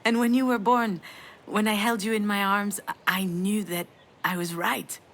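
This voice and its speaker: A woman playing a sardonic, self-blaming yet tender mother in a dramatic video game, frank and emotionally expressive. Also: medium pitch, normal speed, lightly acted. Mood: tender, wistful. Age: adult.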